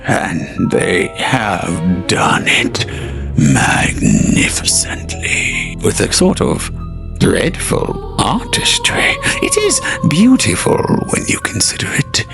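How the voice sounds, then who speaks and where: A man's voice: fairly low pitch, wildly swooping pitch, takes it slowly, gravelly, over music, exaggerated voice. A man voicing an ancient gloating tempter spirit. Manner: languid, savoring, mocking. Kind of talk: audio drama acting.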